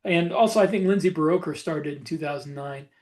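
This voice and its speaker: A man in a casual podcast conversation, telling stories about his own career in a halting, reflective way. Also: on the high side, darkish tone. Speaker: podcast host and self-published author.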